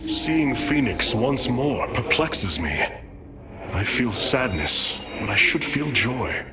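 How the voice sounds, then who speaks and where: A noble alien commander, young but grave and troubled. His formal, measured lines swing widely in pitch, and loud cinematic game music plays behind him. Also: big pitch swings. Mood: sorrowful. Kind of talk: dramatic game cinematic acting.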